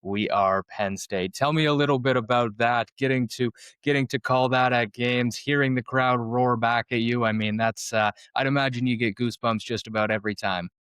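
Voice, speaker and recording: Smooth-voiced enthusiastic male host. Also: medium pitch, fairly even intonation, normal speed, few pauses, fairly bright tone, no room sound. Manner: enthusiastic, affirming. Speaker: podcast host. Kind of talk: casual interview chat.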